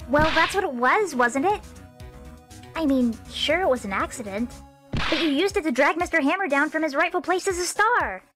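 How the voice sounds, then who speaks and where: A woman voicing an impulsive, emphatic teenage girl sidekick who is quick to accuse, in an amateur game fandub. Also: sits high, expressive, fairly bright tone, faint music behind. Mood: indignant.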